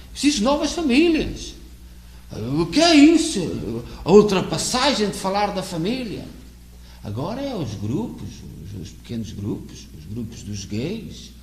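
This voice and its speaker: Man with a European Portuguese accent, preaching a sermon, earnest, halting, repeats himself, somewhat high voice, roomy reverb. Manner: earnest, halting, repeats words while searching for them. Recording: echoey room with faint hum.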